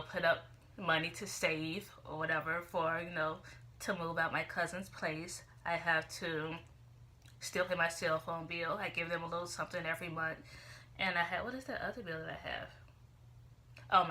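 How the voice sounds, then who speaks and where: Chatty young woman, bright voice. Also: on the low side. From Chicago, USA. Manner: rambling and chatty, full of "you know" and "like". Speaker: vlogger. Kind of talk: casual chat to camera.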